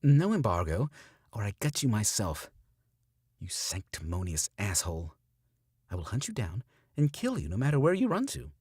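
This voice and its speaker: A man voicing a tough woman survivor who stays eerily calm before turning menacing. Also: mid-range voice, moderate pace, slightly rough, no echo, studio quality, pitched above the performer's own voice. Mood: threatening.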